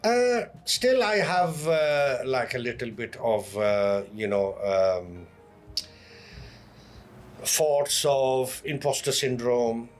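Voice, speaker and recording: A man's voice: medium pitch, sing-song, takes it slowly, soft background music. A man from Leeds with a Yorkshire accent, talking casually as an interview guest. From Leeds, Yorkshire, England. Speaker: podcast guest, online content creator. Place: in a pub over a meal.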